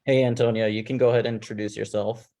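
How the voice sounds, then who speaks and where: A man hosting an online cast reveal, talking in a rambling, relaxed way with plenty of fillers. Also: lowish voice, fast, gravelly. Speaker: host revealing a game cast.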